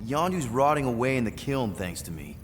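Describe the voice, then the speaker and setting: A man's voice: medium pitch, very animated, a little fast, a little hiss or hum, natural voice. A man playing a scrappy, upbeat human leader of a space crew in a video game cutscene, with a casual, persuasive way of talking. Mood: earnest, brainstorming.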